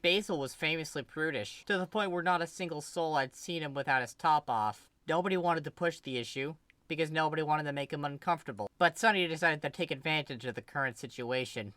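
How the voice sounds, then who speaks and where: A person reading a story aloud as an expressive narrator, doing scripted prose and character dialogue. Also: medium pitch, fairly quick, dry recording. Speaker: fan fiction reader. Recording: music behind the voice. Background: music.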